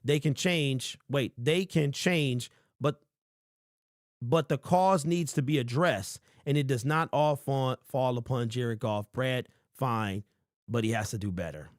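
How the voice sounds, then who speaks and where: A man in his forties hosting a casual sports talk show, reading viewer comments and rambling with lively emphasis. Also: mid-range voice, measured pace, no echo, clean studio sound. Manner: animated, rambling, calls listeners bro. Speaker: sports podcast host.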